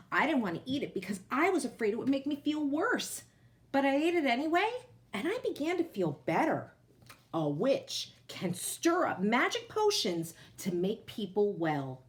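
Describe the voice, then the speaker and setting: A woman reading a children's picture book aloud in an expressive, deliberate storytelling manner, with emphatic phrasing for young listeners. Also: mid-range voice.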